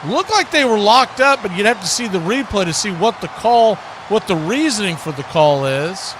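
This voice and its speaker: Male American play-by-play commentator, high voice, folksy and conversational, football livestream with clear background noise. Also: animated, normal speed.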